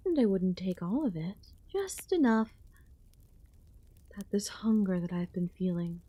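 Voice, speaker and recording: Woman acting a slow-spoken, possessive ancient goddess queen. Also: mid-range voice, big pitch swings, warm tone, husky, dry recording. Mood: musing, yearning. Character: ancient yandere goddess queen, possessive, lonely, hungry for love. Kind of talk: ASMR audio roleplay.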